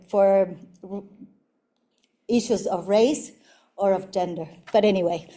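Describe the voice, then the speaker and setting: Middle-aged Mexican woman architect, lecture delivery; mellow tone, halting with frequent fillers. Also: mid-range voice. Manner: halting, many fillers and restarts. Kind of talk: university lecture presenting projects.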